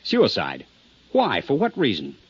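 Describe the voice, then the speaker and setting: A man's voice: medium pitch, huge pitch swings, unhurried, breathy edge, no room sound, exaggerated voice. Guarded young man, muffled old radio. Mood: defensive, indignant. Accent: American. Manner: plain, matter-of-fact, guarded. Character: defensive younger male boat hand, casual then touchy. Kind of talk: 1950s radio drama acting. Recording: muffled 1950s radio recording.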